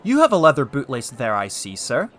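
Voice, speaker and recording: A polite, firm railway guard in a storybook, acted by a man. He is formal and insistent, with a bright, animated delivery. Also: medium pitch, brisk.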